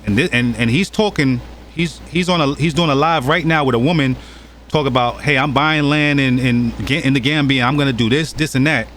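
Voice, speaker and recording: A man's voice: medium pitch, brisk, fairly bright tone. A man from the United States hosting a reaction commentary, mocking and challenging the clips he plays, with faint noise behind him. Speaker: commentary channel host.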